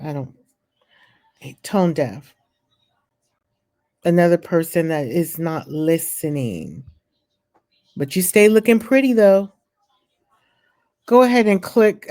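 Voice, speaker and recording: Woman vlogger, low mellow voice, unhurried; reads news and trips on names, then chats her own views. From California. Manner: reads haltingly, stumbles on names, then adds chatty opinions. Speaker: political commentary vlogger. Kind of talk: reading news aloud and commenting.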